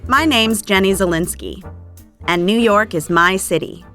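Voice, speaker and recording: An actress playing a bright, chatty young woman. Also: medium pitch, measured pace, faint music behind, natural voice. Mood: cheerful. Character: young American woman, lively and talkative. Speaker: magazine employee character. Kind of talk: scripted course dialogue.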